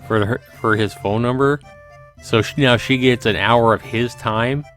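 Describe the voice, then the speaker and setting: A man's voice: medium pitch, measured pace, fairly bright tone, faint music behind. A man hosting a let's play, reading a visual novel aloud and adding informal reactions in a casual way.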